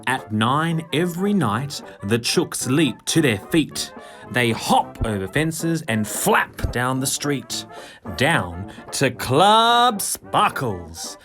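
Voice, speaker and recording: A man reading a children's picture book in verse, with expressive, dramatic, rhythmic narration. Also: medium pitch, slow pace, soft background music.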